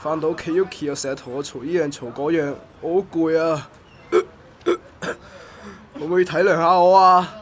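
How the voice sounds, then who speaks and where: A man's voice: on the high side, steady tone, normal speed, lightly acted. A man with a Hong Kong Cantonese accent playing a weary, mild-tempered businessman in a radio drama. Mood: drunk, exasperated.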